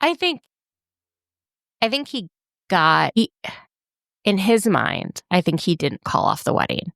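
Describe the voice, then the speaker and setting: A woman's voice: medium pitch, big pitch swings, slow pace, creaky, fairly bright tone, dry recording, clean studio sound. A woman co-hosting a podcast in casual chat, thoughtful and hedging, filling her talk with "like.". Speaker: podcast co-host.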